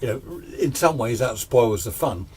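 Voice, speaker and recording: A man's voice: mid-range voice, animated, moderate pace, a little hiss or hum. A man in his sixties giving an informal, unscripted explainer as a radio hobbyist, rambling conversationally with little questions to himself. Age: 60s. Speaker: amateur radio hobbyist presenter.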